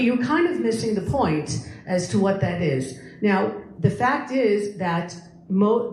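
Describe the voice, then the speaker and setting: A woman's voice: mid-range voice, darkish tone. A middle-aged or older woman giving a conversational public lecture, explaining her opinions and repeating words for emphasis. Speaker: guest speaker. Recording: echoey room with faint background noise.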